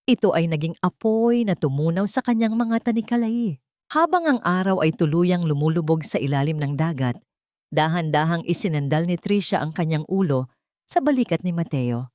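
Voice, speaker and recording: A woman's voice: fairly low pitch, animated, normal speed, a little mellow, no room sound, clean studio sound. A woman storyteller narrating a scripted romance drama earnestly and politely, addressing her listeners.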